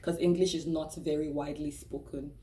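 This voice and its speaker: Woman, nigerian accent, slightly low voice, chatty vlogger, lots of fillers, quick to laugh. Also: fairly even intonation, dark tone. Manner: chatty and candid, with frequent "like" and "um" and restarts; laughs easily and acts out quoted voices. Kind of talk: casual personal vlog talk.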